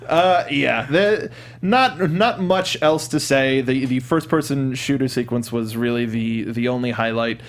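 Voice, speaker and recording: A man's voice: mid-range voice, a little slow. A young man hosting a casual, profane movie discussion in a studio, speaking into a desk microphone. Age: young adult. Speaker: podcast host. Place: small indoor studio. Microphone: studio mic on desk, headset headphones.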